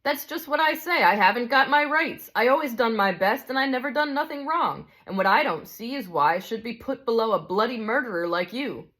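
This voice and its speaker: A woman's voice: on the high side, lively intonation, moderate pace, a bit bright, voice pushed up. A woman voicing a big, self-righteous male ghost who complains in coarse working-class speech. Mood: aggrieved.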